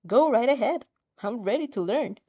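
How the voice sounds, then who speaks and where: An earnest, friendly protagonist read by a woman speaks casually in an audio story. The voice is soft and mellow. The sound is a little muffled. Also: a little high, expressive, normal speed. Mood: cheerful.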